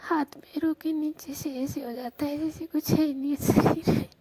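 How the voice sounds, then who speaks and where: A woman playing a shy, devoted young married Rajasthani village woman, speaking in a hushed voice to her secret lover on the phone. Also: steady tone, normal speed. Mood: shy, tender. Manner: hushed, speaking low so neighbours will not hear. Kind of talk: intimate romantic phone conversation, acted as a prank call recording. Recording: noisy phone call recording.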